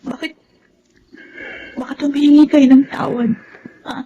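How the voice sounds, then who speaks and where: A woman's voice: high-pitched, flat delivery, unhurried. A woman with a Filipino accent playing a concerned, contrite grown daughter in a radio drama, emotional and insistent. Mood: bewildered concern. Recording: dull sound, loud background music.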